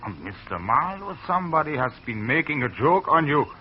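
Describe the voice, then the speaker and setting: An actor playing a hesitant, excitable rural man in an old radio drama, his voice wavering. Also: a little high, fairly quick, breathy edge. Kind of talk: 1940s radio acting. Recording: dull old radio recording.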